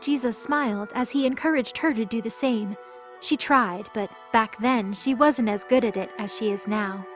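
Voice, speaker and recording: A woman's voice: a little high, moderate pace. A woman narrating a scripted audio drama as a storyteller. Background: music.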